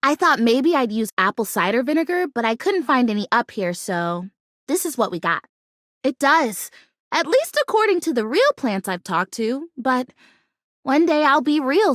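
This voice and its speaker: High-pitched, anxious, hopeful plastic houseplant. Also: female voice, no echo, clean studio sound, exaggerated voice. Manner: earnest, hesitant, emotionally expressive, self-interrupting. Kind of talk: video game character acting.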